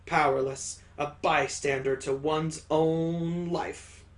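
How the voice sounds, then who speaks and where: Male game streamer, higher-pitched voice, unhurried and dramatic story narration. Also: steady tone. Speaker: let's player reading a visual novel aloud. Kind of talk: expressive read-aloud narration.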